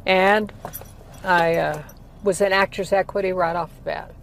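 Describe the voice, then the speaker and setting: A woman's voice: on the low side, fairly even intonation, unhurried, gravelly. A woman in her eighties, a former opera singer, recalling her career in a rambling, filler-laden way during a casual interview. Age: 80s. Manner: rambling storytelling with many fillers. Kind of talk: casual life-story interview. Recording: heavy traffic noise behind.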